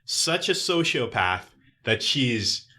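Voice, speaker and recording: A man's voice: medium pitch, sing-song, slow pace, pushed and bright. A man hosting a casual book club discussion, talking through a story thoughtfully. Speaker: podcast host.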